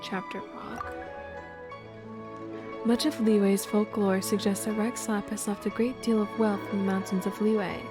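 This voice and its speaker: Female game streamer, mid-pitched, casual livestream delivery, reads text aloud over music. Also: little pitch movement, moderate pace.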